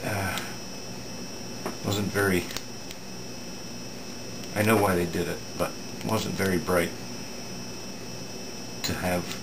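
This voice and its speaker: Slow, deep, gravelly man at a workbench. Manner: halting, matter-of-fact. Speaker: electronics repair demonstrator. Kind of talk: unscripted hands-on repair demonstration. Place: indoor home electronics workbench. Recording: steady room hum, air-conditioning noise.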